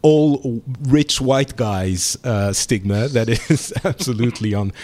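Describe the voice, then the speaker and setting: A man's voice: medium pitch, slow, darkish tone. A man with a French accent hosting a podcast roundtable, chatty and laughing often. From France. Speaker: podcast host. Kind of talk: casual roundtable chat. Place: home in Paris.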